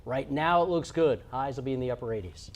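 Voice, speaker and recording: A man's voice: medium pitch, fast. An American man presenting a conversational weather forecast on television as the station's forecaster.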